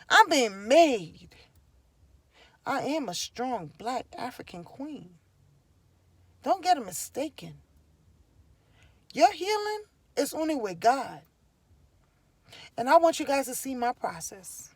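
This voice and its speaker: High-voiced Liberian woman, emphatic. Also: big pitch swings, takes it slowly, long pauses, dry recording. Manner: emphatic, repetitive, preachy. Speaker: vlogger. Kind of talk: casual personal storytelling to camera.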